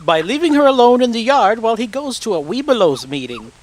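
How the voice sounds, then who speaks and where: A man's voice: very high-pitched, big pitch swings, fairly quick, a little hiss or hum. A man riffing comically over an old film with wry, sardonic asides. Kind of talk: mocking wisecrack commentary.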